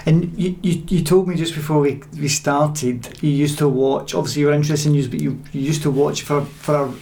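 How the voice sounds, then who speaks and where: A woman's voice: deep voice, steady tone, a little fast, creaky, dark tone. A woman interviewing a guest, asking questions and adding frequent short affirmations.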